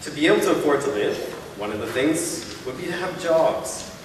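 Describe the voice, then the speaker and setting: A man in his thirties delivers a keynote from a podium, steady and persuasive, stacking his points in rhythmic runs. His voice rings out through a big reverberant hall. The recording is taken from well back in the room, with a clear hum of ambient noise underneath. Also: a little high, normal speed, recorded from a distance. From Canada. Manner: earnest, persuasive, builds points in rhythmic lists. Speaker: keynote speaker. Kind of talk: public speech. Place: large hall, at a podium on stage. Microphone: podium mic, filmed from far off.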